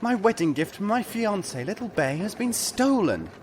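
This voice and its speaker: A male actor playing a pompous, fretful rich gentleman with genteel old-fashioned phrasing in a comic audio drama. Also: sits high, sing-song, moderate pace, a little hiss or hum. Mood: panicked. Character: fussy, panicky rich gentleman, pompous and perfumed.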